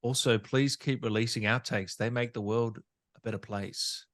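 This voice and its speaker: A man's voice: mid-range voice, fairly even intonation, moderate pace, dry recording. Dry-recorded man hosting a casual interview. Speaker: podcast interviewer, host. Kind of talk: casual interview chat, reading viewer questions.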